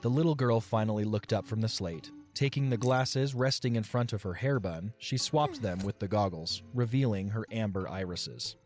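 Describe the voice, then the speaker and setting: Low-voiced male story narrator. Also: normal speed, hardly any pauses, a little mellow, faint music behind.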